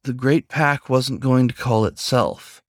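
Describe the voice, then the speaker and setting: A man's voice: medium pitch, steady tone, takes it slowly, no room sound. Man reading a story, close dry sound. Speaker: author reading own story. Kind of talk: scripted story narration.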